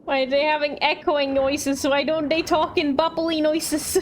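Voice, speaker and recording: A woman game streamer giving casual, halting commentary, musing aloud as she plays. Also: high voice, little pitch movement, soft background music. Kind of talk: casual live gameplay commentary.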